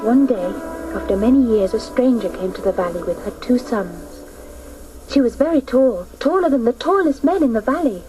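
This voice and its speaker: A woman's voice: fairly high pitch, animated, normal speed, mellow, lightly acted, poor audio. A woman playing a proud, earnest young girl who tells a legend solemnly and lyrically in a radio drama. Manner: solemn, lyrical, emphatic telling. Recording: music behind the voice.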